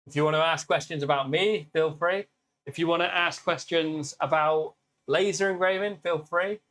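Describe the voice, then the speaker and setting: Upbeat British man with a loud voice. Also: on the high side, fairly even intonation, moderate pace. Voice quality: naturally loud voice. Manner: chatty, upbeat, greets viewers by name. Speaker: live stream host. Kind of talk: casual live stream demo and Q&A. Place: workshop with laser engravers.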